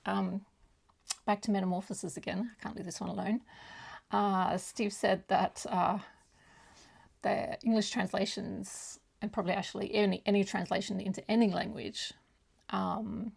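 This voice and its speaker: A woman's voice: mid-range voice, gravelly, a little mellow, slightly rough. A woman whose first language is German, chatting casually to viewers as a book vlogger in a rambling, hesitant way that often loses its thread.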